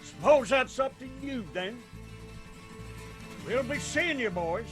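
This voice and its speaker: Bright-voiced, high-pitched mountain clan boss. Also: male voice, expressive, takes it slowly, faint music behind, heavily acted. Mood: wry, commanding. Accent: Appalachian. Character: commanding mountain clan patriarch, calm, proud, wry. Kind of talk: radio drama acting.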